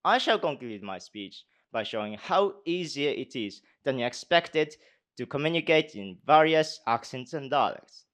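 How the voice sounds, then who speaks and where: A man's voice: mid-range voice, animated, moderate pace, fairly bright tone. A Japanese university student in his twenties with a posh British accent, giving a speech and then answering questions hesitantly in a conference hall. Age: 20s. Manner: hesitant with many fillers when answering.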